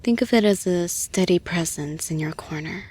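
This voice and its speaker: A woman playing a caring, composed female boss in an intimate audio roleplay, speaking in a soft, breathy, husky, reassuring voice with slow, deliberate phrasing. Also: lowish voice. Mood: gentle, reassuring.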